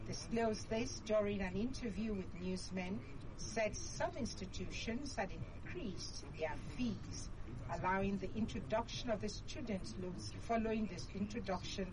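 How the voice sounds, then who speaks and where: A woman with a Nigerian accent reads a scripted radio news bulletin in a formal, measured manner. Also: mid-range voice. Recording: clearly noisy radio broadcast.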